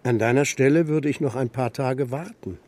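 An actor playing a stubborn, grumpy old steppe shepherd and grandfather in a children's radio drama, gruff and terse, with a husky voice. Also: mid-range voice, normal speed, darkish tone, natural voice. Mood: gentle, advising.

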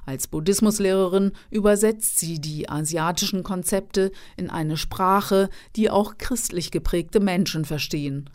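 A woman, a radio reporter, reading a scripted feature narration in an even, informative way. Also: on the low side, measured pace, hardly any pauses.

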